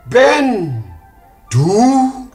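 An ageing, dreamy salesman at the end of his strength. His pitch leaps hugely from hushed murmurs to cries, in an emotional vintage radio drama style. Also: very animated, extremely slow, soft background music, heavily acted. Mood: excited, yearning. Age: older. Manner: emotional, swinging between outcry and murmur. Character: desperate, worn-out ageing travelling salesman, proud and dreamy. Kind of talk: 1950s German radio drama acting.

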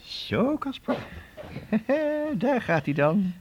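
An old male professor in a comic children's radio play. He is fussy and excitable, muttering and repeating his words, with a very lively range of pitch. The recording has clear background noise. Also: drawn-out words, lo-fi sound, heavily acted. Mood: pleased.

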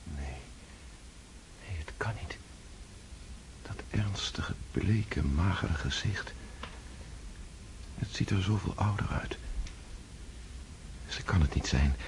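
An actor playing an earnest, gentle young nobleman who stammers when moved, in a radio drama. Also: deep voice, takes it slowly, creaky, long pauses, whispered, heavily acted, poor audio. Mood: hushed disbelief.

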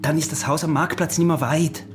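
An actor playing a greedy, possessive smuggler husband with an Austrian accent, wheedling and swooping between murmurs and exclamations in an intimate radio drama. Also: male voice, fairly high pitch, steady tone, a little fast, background noise, close to an everyday voice. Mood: coaxing, proud. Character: scheming, possessive, short-winded smuggler husband, greedy and proud.

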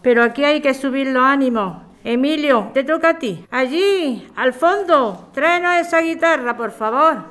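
A woman's voice: high-pitched, animated, moderate pace, hardly any pauses, fairly bright tone. A woman playing an outspoken, aggrieved grown daughter in a radio play, with an accent from Spain. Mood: resigned, rallying. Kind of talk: amateur radio drama acting.

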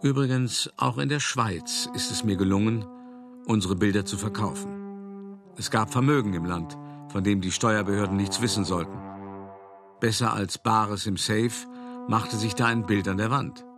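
A shrewd art dealer looks back on his life in a low voice. He tells it as a radio play monologue, wry and measured, as if in conversation, with music clearly behind him. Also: lively intonation. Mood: sly, pleased. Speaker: narrator. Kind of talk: radio drama monologue, first-person storytelling.

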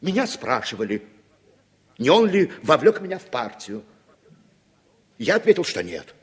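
An actor playing a nervous, evasive writer with a husky voice and a defensive manner in a Soviet radio drama. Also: male voice, medium pitch, sing-song, a little slow. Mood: defensive, irritated. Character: evasive, nervous male writer under suspicion.

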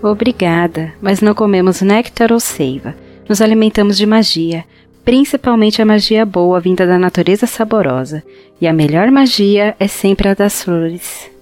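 A woman's voice: medium pitch, moderate pace, darkish tone, soft background music, close to an everyday voice. Woman playing a tiny pleading forest fairy. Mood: serene, grateful. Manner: pleading, earnest, formal storybook speech. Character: tiny hungry female forest fairy, pleading, grateful and gentle. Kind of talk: audio drama fantasy acting.

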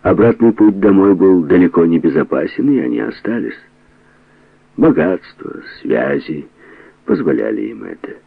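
Deep gravelly male audiobook narrator. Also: very animated, moderate pace, no room sound, narrow, tinny audio. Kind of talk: scripted dramatic narration of a novel.

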